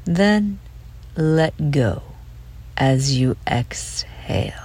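Very slow, low-voiced woman leading meditation. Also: lively intonation. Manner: soothing, gentle, breathy trailing phrase ends. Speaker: guided meditation leader. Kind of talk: slow guided relaxation meditation.

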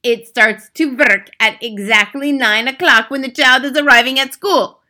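A woman's voice: high-pitched, expressive, unhurried, sharp tone, voice pushed up, full character voice. A woman voicing a wicked, bloodthirsty old witch leader in a storybook, commanding and triumphant, speaking in broken, foreign-sounding grammar. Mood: gloating, triumphant.

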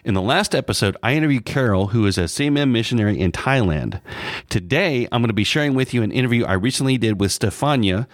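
A man hosting a podcast, reading a prepared introduction and narration smoothly. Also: mid-range voice, animated, fast talker, no echo, clean studio sound. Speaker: podcast host.

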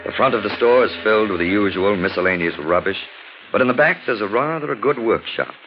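A man's voice: lowish voice, expressive, fast, music in the background, lightly acted. An actor playing an urbane criminologist, narrating his case with wry, theatrical polish in 1940s radio mystery style. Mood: wry, ominous. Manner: suave, wry, theatrical storyteller. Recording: dull 1940s radio recording.

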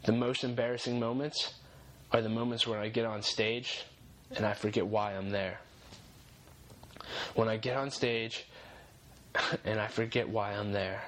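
A man's voice: fairly low pitch, flat delivery, measured pace, long pauses, a bit bright, rough recording. Earnest young man giving faith-based encouragement. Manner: earnest, encouraging, repeats key phrases for emphasis. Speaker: podcast host.

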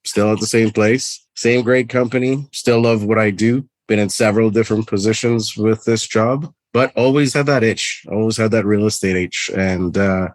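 A man in his forties from Trinidad and Tobago, a podcast co-host, introducing himself in a relaxed, matter-of-fact way. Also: lowish voice, normal speed, few pauses, dry recording. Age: 40s. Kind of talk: casual podcast chat.

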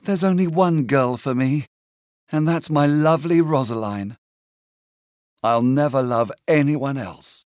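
A woman's voice: extremely low pitch, measured pace, a little mellow, no echo, clean studio sound, voice pushed down. A woman voicing Romeo, a mournful lovesick young man, in a storybook reading. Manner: mournful, lovelorn.

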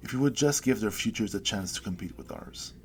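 A middle-aged man, a poet, reading his poem and then talking it over in a thoughtful, hesitant way with many pauses. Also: on the low side, animated, a little hiss or hum. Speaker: poet guest. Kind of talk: poetry reading and interview.

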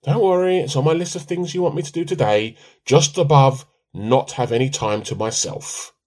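A man's voice: mid-range voice, normal speed, a little mellow, natural voice. A man playing a put-upon, dryly sarcastic middle-aged husband in a radio play.

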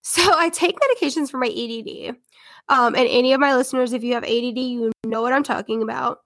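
A woman's voice: high voice, quick pace, fairly bright tone, no room sound. A young woman with a head cold and a congested voice, hosting a casual true-crime podcast in a chatty, rambling way. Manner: chatty, rambling, filler-heavy. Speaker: podcast host.

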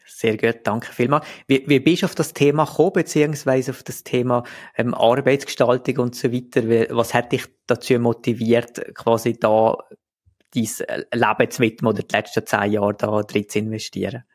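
A man's voice: mid-range voice, steady tone, normal speed, darkish tone, dry recording, clean studio sound. A man with a Swiss German accent hosting a podcast in a conversational interview style. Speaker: podcast host.

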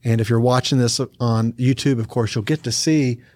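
A man's voice: mid-range voice, fairly even intonation, moderate pace, darkish tone, no room sound, studio quality. A man introducing a church podcast episode and its guests in an upbeat announcer manner. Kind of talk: scripted show introduction.

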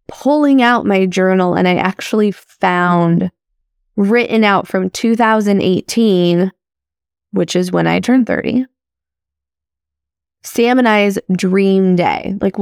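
Woman in her 30s podcasting solo, chatty and upbeat, slow and unhurried, dry close mic. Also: mid-range voice, no echo. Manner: chatty and upbeat, with frequent "like" and "crazy". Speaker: podcast host. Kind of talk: personal reflective podcast monologue.

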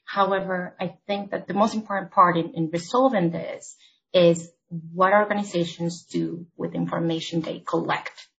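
A woman from Argentina speaks as a podcast guest, her voice a little lower than most. She lays out her points in a measured, orderly way, like a consultant walking a client through a plan. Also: vocal fry, a bit bright, poor audio. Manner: measured, explanatory, structured points. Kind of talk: podcast interview.

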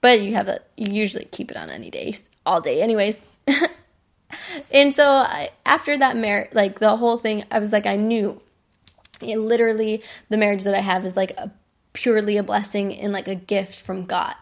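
Young woman, American accent, casual storytelling, bubbly, laughs often, fry in the voice. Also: mid-range voice, moderate pace. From United States. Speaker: podcast host.

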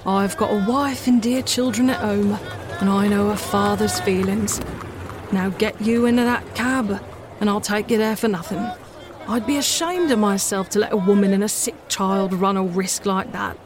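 A woman's voice: mid-range voice, moderate pace, background noise, natural voice. A woman reading the part of a kindly, plain-spoken working-class London cab driver in a storybook, firm and reassuring. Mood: kind, insistent.

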